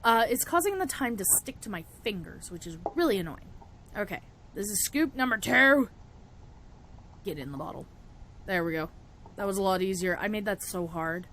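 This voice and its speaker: A young woman with a cold-roughened, raspy voice, chatting casually through a spell-making tutorial and laughing often. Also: medium pitch, expressive, moderate pace, pauses often, a bit bright, a little hiss or hum. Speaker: witchcraft vlogger.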